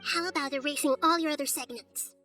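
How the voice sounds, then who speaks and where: Casting: shrewd little goddess of wisdom, high bright voice, calm, articulate, firm game delivery. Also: female voice, fairly quick. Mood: cool, probing. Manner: poised, articulate, quietly firm. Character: small, childlike female god of wisdom, calm and shrewd. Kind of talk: video game cutscene acting. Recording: studio voice over game music.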